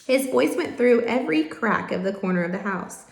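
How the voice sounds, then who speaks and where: A woman reading a children's story aloud in expressive storybook narration. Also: mid-range voice, moderate pace, vocal fry. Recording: roomy, echoing home-style recording.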